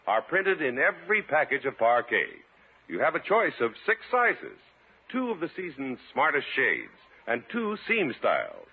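A man's voice: medium pitch, husky. A man announcing a scripted 1950s radio advertisement in a polished manner. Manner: polished, enumerating. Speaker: commercial announcer. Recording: thin, narrow 1950s radio recording.

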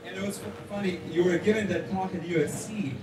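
A man's voice: sits high, fairly even intonation, quick pace, heavy background noise. Enthusiastic man asking a question from the crowd. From Ukraine. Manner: enthusiastic, rambling with fillers. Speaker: audience member asking a question. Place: large event hall with audience. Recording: echoey hall, distant mic, crowd.

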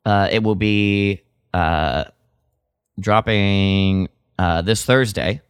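Male co-host, smooth and unhurried, casual halting delivery, very dry sound. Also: lowish voice, no room sound. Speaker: podcast co-host. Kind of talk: casual chat.

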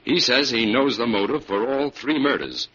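An actor playing an authoritative city detective in old-time radio style, firm, measured and persuasive. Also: male voice, mid-range voice, lively intonation, pushed and bright. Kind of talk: 1940s radio acting. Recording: dull old radio recording, faint noise.

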